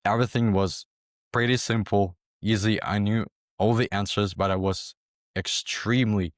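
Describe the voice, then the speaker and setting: Low-voiced young man from Ukraine. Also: fairly even intonation, normal speed, no echo, studio quality. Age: young adult. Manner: hesitant, repeats words, many fillers. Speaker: interview guest, former exam candidate. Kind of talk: casual interview talk.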